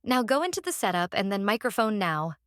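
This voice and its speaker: A woman with a medium-pitched voice walks through a software setup step by step. Her delivery is casual and instructional, with frequent little check-ins to the listener. The sound is dry and close, with very little room in it. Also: sing-song, fairly quick, no echo. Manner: instructional, often checking with "right?". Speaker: tutorial host.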